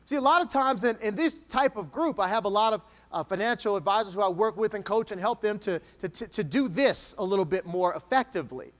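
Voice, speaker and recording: Male keynote speaker from Texas, high and lively delivery, playful with the crowd, dramatic pauses. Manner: animated, dramatic pauses, slow emphatic dictation; playful, jokes with the audience. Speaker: motivational speaker. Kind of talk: keynote speech to an audience.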